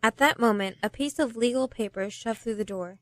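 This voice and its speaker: An actress playing the knowing storyteller who reads the scripted narration of a radio play. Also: female voice, a little high, fairly quick, no room sound, studio quality, lightly acted. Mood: storytelling.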